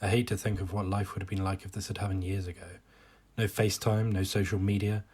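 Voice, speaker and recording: A husky, fairly low male voice of an actor gives a scripted diary monologue for a short film. He is an exasperated man, musing and fed up with the pressure on him. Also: level tone, quick pace, a little mellow.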